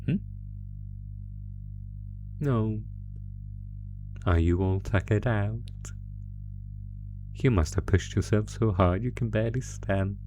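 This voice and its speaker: A man's voice: on the low side, takes it slowly, pauses often, warm tone, soft background music. A man voicing an ancient, possessive monster captor in a horror roleplay, gloating with menacing, mock-tender teasing. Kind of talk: horror roleplay audio acting.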